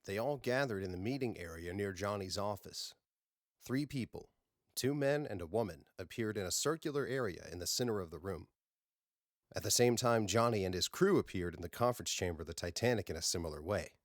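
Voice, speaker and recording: A man narrates a science fiction story in a low voice. The recording is dry, with little room sound, and he reads from a script as an audiobook narrator. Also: expressive, fairly quick, no echo.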